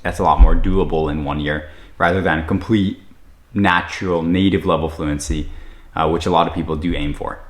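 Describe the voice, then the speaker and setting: A man's voice: low voice, fairly even intonation, moderate pace, roomy sound. A man introducing a language course, talking loosely with frequent fillers and self-corrections. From United States. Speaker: language teacher.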